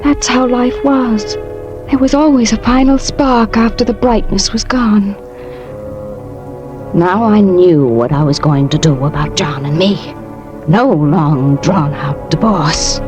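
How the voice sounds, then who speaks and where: Bitter, scheming 1950s radio wife. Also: female voice, lowish voice, takes it slowly. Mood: bitter, resolved. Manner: dramatic, intense first-person narration and clipped dialogue. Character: bitter, scheming wronged wife plotting murder. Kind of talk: 1950s radio drama acting. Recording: old radio recording with music behind.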